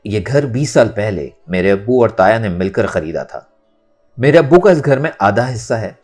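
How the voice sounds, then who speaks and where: A man's voice: on the low side, lively intonation, moderate pace, darkish tone, soft background music. A man voicing a confident, resolute young woman in an audio story, speaking firmly and formally. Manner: firm, composed, formal pleading.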